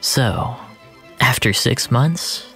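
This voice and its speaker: A narrator reading scripted first-person fiction in a measured, literary storytelling manner. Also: medium pitch, animated, a bit bright. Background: music.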